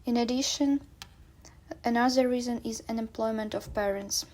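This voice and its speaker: Young woman, from Ukraine, student presenter, reads lists, higher voice. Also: steady tone, normal speed, a little mellow. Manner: reads lists of cited points, spells out terms.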